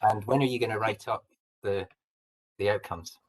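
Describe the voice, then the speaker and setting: A man's voice: fairly low pitch, normal speed, low-quality recording. A man giving an informal academic comment and question, speaking in an earnest, appreciative way. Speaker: seventeenth-century historian, audience questioner.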